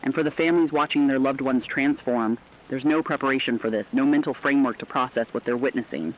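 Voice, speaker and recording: A man's voice: medium pitch, quick pace, natural voice. A man playing an earnest, compassionate radio co-anchor, giving solemn, emotive scripted commentary on breaking news. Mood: solemn, sorrowful. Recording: dull lo-fi radio sound, faint noise.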